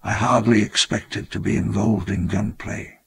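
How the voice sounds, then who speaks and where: An older actor playing an elderly, wistful dinosaur-park founder, narrating his memoir in a measured, solemn way. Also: lowish voice, fairly even intonation, vocal fry, slight background noise. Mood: reflective. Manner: measured, reflective, grandly solemn. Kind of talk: scripted memoir narration for a game.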